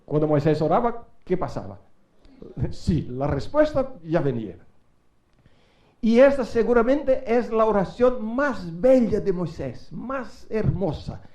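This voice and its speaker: A middle-aged man preaching a sermon in a church, speaking Spanish as a second language and swinging from quiet asides to loud rising calls to the congregation. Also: sits high, very animated, takes it slowly. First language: not Spanish. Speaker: guest preacher.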